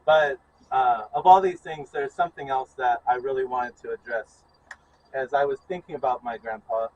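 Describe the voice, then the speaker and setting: A man giving a eulogy for his grandfather at an outdoor funeral, speaking loudly with frequent "um"s. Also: medium pitch, steady tone, normal speed, a little hiss or hum, rough recording. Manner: loud, self-assured delivery with many "um"s. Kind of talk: funeral remembrance speech.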